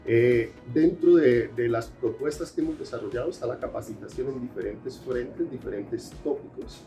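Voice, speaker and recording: A Colombian man whose Spanish carries Brazilian Portuguese traces. He answers at moderate pitch, often pausing on "eh" and restarting phrases. Soft music plays faintly behind him. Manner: hesitant, many fillers and restarts. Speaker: researcher guest. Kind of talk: interview answers.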